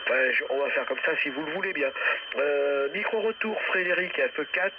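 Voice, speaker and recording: A man's voice: on the high side, animated, normal speed. A man talking courteously and chattily as an amateur radio operator in a casual exchange over shortwave radio. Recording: noisy, muffled distant shortwave radio reception. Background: loud radio static.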